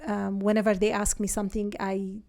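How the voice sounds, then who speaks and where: A woman from Syria with an Arabic accent, a native Arabic speaker, talking earnestly and haltingly as a guest in a conversational interview. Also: mid-range voice, completely flat delivery, gravelly, darkish tone. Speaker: interview guest, real estate agent.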